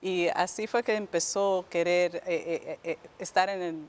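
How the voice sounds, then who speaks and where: Lower-voiced New York-raised woman. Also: steady tone, unhurried. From New York, United States. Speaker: guest, appellate judge. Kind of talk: conversational interview answers.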